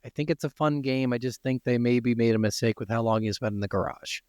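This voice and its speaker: A man's voice: medium pitch, a little fast, a little mellow, smooth, no echo, studio quality. An American man co-hosting a podcast, talking casually and bluntly about video games. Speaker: podcast co-host. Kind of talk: casual gaming chat.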